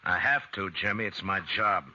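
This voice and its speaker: An actor playing a steady, compassionate frontier marshal in a 1950s radio western, firm, measured and fatherly. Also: sits low, close to an everyday voice. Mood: firm, grave. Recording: muffled, hissy 1950s radio recording.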